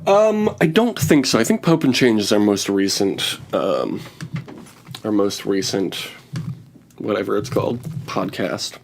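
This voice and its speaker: A man's voice: lowish voice, sing-song, a little slow, gravelly, a little hiss or hum. A man in his thirties hosting a podcast in casual comedic banter, joking and poking fun at himself. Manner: joking, self-mocking. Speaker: podcast host.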